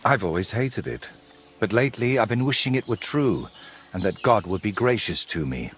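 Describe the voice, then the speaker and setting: A brooding low-voiced medieval painter. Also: male voice, animated, normal speed. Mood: earnest, secretive. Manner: wry, teasing, evasive. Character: brooding, secretive medieval painter, sardonic and obsessive. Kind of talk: dramatic dialogue in a cinematic historical game.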